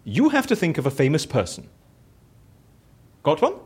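A man's voice: on the high side, huge pitch swings, moderate pace, darkish tone, breathy edge, full character voice. A man acting in an audio drama as a bored, boastful, theatrical raconteur who talks chattily and self-importantly. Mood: playful. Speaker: lead character in audio fiction.

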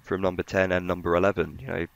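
A man with a very low voice and plenty of fry gives conversational commentary as a podcast panellist. His delivery stays flat, and the audio is slightly dull. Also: fast talker, no echo. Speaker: financial journalist, podcast panellist.

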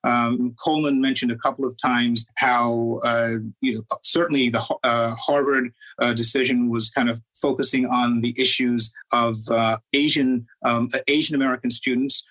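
A man from Trinidad asks a long question at a debate, pausing often and filling gaps with ums. His voice is mid-pitched with a bright tone. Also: flat delivery, poor audio. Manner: hesitant, many fillers. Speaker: journalist asking a question.

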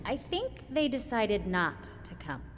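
A woman's voice: a little high, very animated, unhurried. A woman playing a meek, dutiful office clerk in an audio drama, hesitant and apologetic. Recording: echoing hall, faint noise.

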